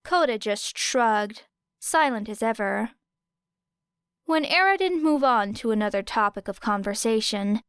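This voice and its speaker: A woman's voice: on the high side, smooth, no room sound, studio quality. A woman reading fiction aloud as an audiobook narrator. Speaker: audiobook reader.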